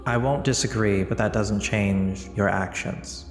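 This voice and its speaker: Guy playing a stern god in a tabletop game, low flat formal voice, roomy sound. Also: soft background music. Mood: grave, admonishing. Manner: formal, measured, admonishing.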